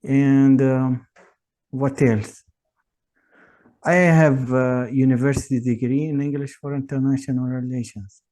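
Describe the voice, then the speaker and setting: Tunisian-accented young man, slow pace, warm dark tone, hesitant, many fillers. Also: mid-range voice, steady tone. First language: Tunisian Arabic. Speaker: guest.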